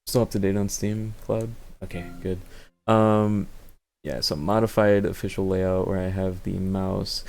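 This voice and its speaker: A man's voice: deep voice, steady tone, unhurried, creaky, darkish tone, a little hiss or hum. A man giving a casual, unscripted gameplay walkthrough as a video host, rambling and thinking aloud as he goes. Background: background game music.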